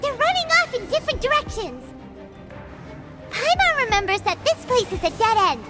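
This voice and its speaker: An actress voicing a tiny, cheeky, childlike fairy companion in a video game, with a perky way of talking. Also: female voice, very high-pitched, very animated, moderate pace, exaggerated voice. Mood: alert, urgent. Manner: perky, childlike, refers to self by name. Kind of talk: video game character acting. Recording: loud music behind the voice.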